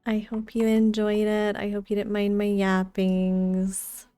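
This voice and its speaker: Mellow woman streamer, close and dry. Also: mid-range voice, monotone, a little slow, smooth, dry recording, soft background music. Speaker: game streamer. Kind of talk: casual livestream chat and commentary.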